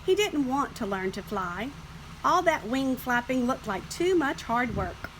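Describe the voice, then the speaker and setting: A woman reading a children's picture book as scripted narration, with expressive, deliberate phrasing. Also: high-pitched, slight background noise, poor audio. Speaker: storybook reader.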